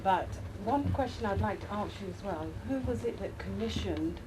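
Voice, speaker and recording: A soft-voiced woman in the audience asking a lecturer a question, hesitant and searching for words. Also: mid-range voice, lively intonation, normal speed. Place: indoor lecture hall. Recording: audience hall with crowd noise.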